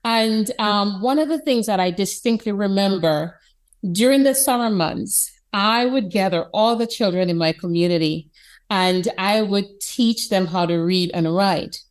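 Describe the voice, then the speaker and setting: Woman, Jamaican accent, expressive storyteller, mid-pitched voice, interview guest. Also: unhurried. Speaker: institute president, interviewee. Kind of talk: conversational interview.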